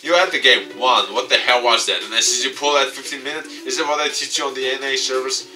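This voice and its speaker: A man voicing a brusque, sharp-tongued young female gaming coach from a visual novel, scolding and sarcastic. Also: mid-range voice, a little fast, distant mic, soft background music, voice pushed up. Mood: impatient scolding. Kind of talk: visual novel read-aloud.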